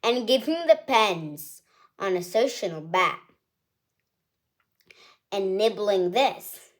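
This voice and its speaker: Woman reading a picture book to kids, cheerful and chatty, unhurried, singsong rhythm. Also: medium pitch, animated. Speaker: children's book reader, channel host.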